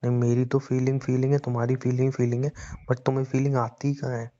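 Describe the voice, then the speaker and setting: A man's voice: medium pitch, level tone, fast, dark tone. A young man joking and teasing his girlfriend in a casual phone call. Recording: dull lo-fi call recording.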